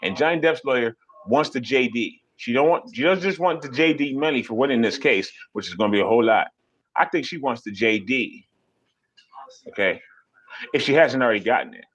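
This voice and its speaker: A young man ranting on a live stream in a casual, profane way. His pitch sits mid-range, and he jumps into high, mocking voices when he imitates people. He talks animated and blunt, with loose restarts. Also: a little fast, slightly rough, dull audio.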